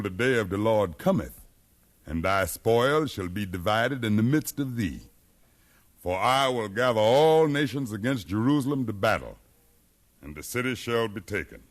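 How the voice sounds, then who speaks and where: A determined freed man in a 1950s radio drama. His low voice swings widely with conviction, and he persuades like a preacher. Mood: solemn, intense. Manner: fervent, persuasive, preaching cadence. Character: determined freed slave man, educated, fiery rebel leader.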